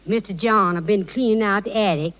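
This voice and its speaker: An actress playing a homely, hesitant housekeeper with folksy, colloquial talk in a 1930s radio drama. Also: female voice, medium pitch, fairly quick, slight background noise, natural voice. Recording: dull, reverberant 1930s radio recording.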